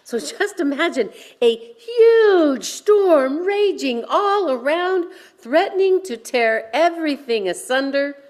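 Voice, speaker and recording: A woman minister delivering a sermon in a deliberate way, with pauses and dramatic emphasis. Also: sits high, expressive, a little mellow.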